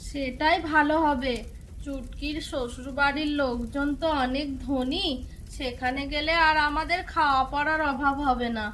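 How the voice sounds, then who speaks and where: A woman's voice: high voice, fairly even intonation, normal speed, a bit bright, slight background noise. A woman playing a scheming, self-serving middle-aged village stepmother in a cartoon folk tale, with pointed, exclaiming delivery. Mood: sweetly self-serving.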